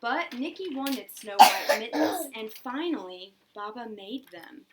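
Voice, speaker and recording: A woman reading a children's story aloud expressively, pausing to prompt a young listener with questions. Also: on the high side, a little hiss or hum. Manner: expressive, prompting, with dramatic gasps.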